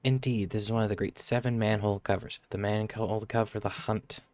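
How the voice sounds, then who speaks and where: Low-voiced man casually streaming a game. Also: fairly even intonation, brisk, darkish tone, dry recording. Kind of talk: casual live gameplay commentary.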